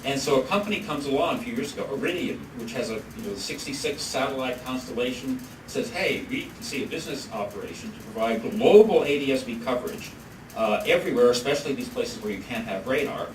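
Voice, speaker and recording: Man talking in an echoey hall from afar. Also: medium pitch. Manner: halting, many fillers and restarts. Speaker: policy researcher presenting a study. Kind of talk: conference presentation. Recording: echoey room from far off, noisy.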